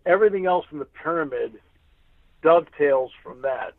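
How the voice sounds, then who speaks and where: Thin, dry phone sound. Male sports announcer as guest, fairly high voice, conversational and emphatic. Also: lively intonation, moderate pace, no room sound, narrow, tinny audio. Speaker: radio play-by-play announcer as guest.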